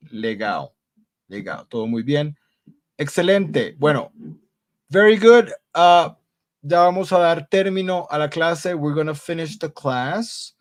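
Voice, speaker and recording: A man teaching an English grammar class live online, upbeat and encouraging, switching between Spanish, English and Portuguese. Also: fairly high pitch. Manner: repeats praise like muy bien. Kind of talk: live online class reading student answers.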